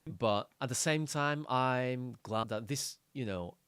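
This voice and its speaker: A man, chatting casually on a podcast in halting, restarting English. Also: mid-range voice, fairly bright tone, clear, resonant tone, dry recording, studio quality. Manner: halting, restarts often, non-native phrasing. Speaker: podcast co-host. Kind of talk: casual chat.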